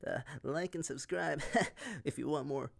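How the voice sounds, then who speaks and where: A man speaking as a voice actor in a rambling, casual way, halting and giggly. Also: on the high side, vocal fry, no room sound, clean studio sound. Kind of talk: rambling casual shout-outs.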